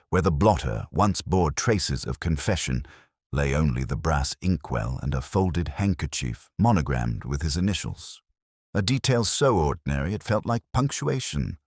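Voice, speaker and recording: Male actor as brooding police detective, bottomless low pitch, expressive intonation, solemn measured narration, reflective, world-weary, mystery radio drama. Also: slightly rough, no room sound, clean studio sound, full character voice. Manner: measured, literary, solemn phrasing.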